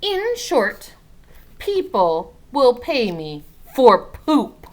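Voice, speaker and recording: A woman's voice: on the high side, huge pitch swings, extremely slow, voice pushed up, full character voice. A woman reading a storybook as an eager, articulate young girl who argues her case precisely and earnestly. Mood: confident, persuasive.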